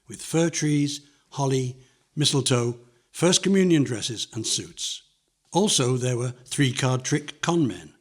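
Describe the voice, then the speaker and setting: An older man reads a nostalgic memoir as scripted narration, in measured, descriptive phrases. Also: medium pitch, slightly rough. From London, England.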